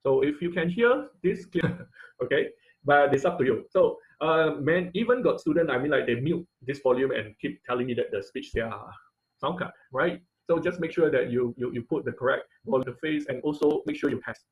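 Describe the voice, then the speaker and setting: Man teaching a halting online tutorial. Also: mid-range voice, rough recording. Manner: halting, repeats words, often checks with "okay" and "right". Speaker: online class instructor. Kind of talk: informal live technical tutorial. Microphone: online video call.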